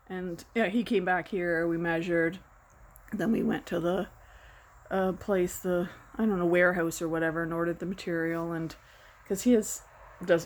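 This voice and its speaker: A woman in her sixties chatting casually from behind a camera at home, rambling and self-deprecating, her voice going hoarse. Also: fairly low pitch, a little mellow. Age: 60s. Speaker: vlogger. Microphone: camera mic, speaking from behind the camera.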